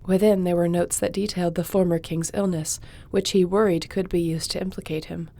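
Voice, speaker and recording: Sorceress, young woman, royal advisor; measured and reassuring, mellow lower tone; woman performs. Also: fairly even intonation, gravelly. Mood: steady. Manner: measured, reassuring, reads reports aloud. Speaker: audio roleplay performer. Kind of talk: fantasy audio roleplay.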